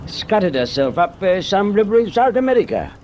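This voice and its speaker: Chatty London working man, radio drama: higher-pitched, lively up-and-down delivery, colloquial and respectful to his betters. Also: fairly quick, a little hiss or hum. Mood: chatty, curious. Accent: London working-class English. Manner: colloquial, deferential. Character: chatty, deferential working-class Londoner, curious about war news.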